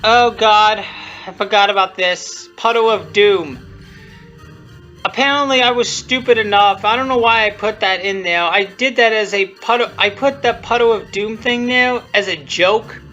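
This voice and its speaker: Bright, muffled, halting amateur commentator. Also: mid-range voice. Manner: halting, repetitive, often restarting sentences; blunt, self-deprecating, casual swearing. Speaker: creator giving commentary on own video. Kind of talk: rambling unscripted video commentary. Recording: muffled lo-fi audio, music behind.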